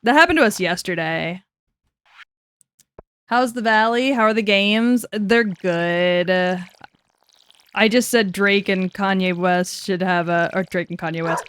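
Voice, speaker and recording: A woman's voice: mid-range voice, a little slow, fairly bright tone, smooth, dry recording. A woman in her twenties chatting casually on a game stream, laughing easily, swearing, and muttering to herself as she works things out. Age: 20s. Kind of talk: casual gaming stream chat.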